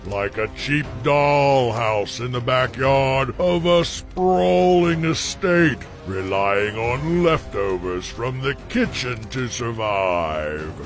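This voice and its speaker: Cartoon villain, usurper dragon king: pompous and menacing, drawn-out pace, gravelly edge. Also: mid-range voice, lively intonation, faint music behind. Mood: menacing, grandiose. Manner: pompous, slow, grandiose.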